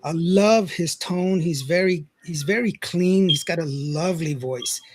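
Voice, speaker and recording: A man's voice: a little high, unhurried. A man hosting a radio podcast, chatting with a guest and reading out listener comments. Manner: reads listener comments, warm shout-outs. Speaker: podcast host and DJ. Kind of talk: casual interview chat.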